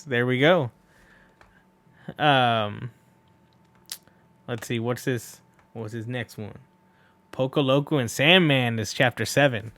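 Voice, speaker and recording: A man's voice: medium pitch, a bit bright, no echo. A man hosting a read-along, chatting casually and enthusiastically to viewers in a rambling, informal way. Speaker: video host and read-along performer.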